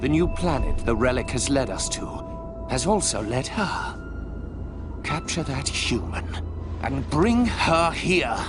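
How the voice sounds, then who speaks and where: A haughty, scheming alien high priest from a game cutscene. The delivery is slow and grandiose, swinging widely in pitch with imperious zeal. Loud music plays beneath. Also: male voice, big pitch swings. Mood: scheming, commanding. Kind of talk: dramatic video game cutscene acting.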